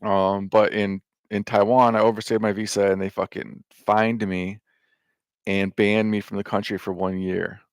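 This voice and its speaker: Gravelly low-voiced man rambling casually. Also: level tone, moderate pace, no echo. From United States. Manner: loose and meandering, with lots of fillers, restarts and swearing. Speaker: vlogger.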